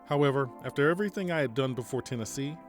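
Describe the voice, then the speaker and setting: An actor playing a calm, formal mercenary general in an audio drama, speaking in a measured way. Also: male voice, medium pitch, faint music behind, close to an everyday voice. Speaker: military general.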